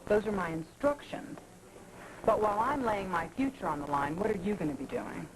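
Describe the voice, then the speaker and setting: A woman's voice: mid-range voice, very animated, a little fast, noisy background, natural voice. A woman playing a poised, teasing flirt. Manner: teasing, wry, self-assured. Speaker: soap opera character. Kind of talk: 1980s daytime soap acting.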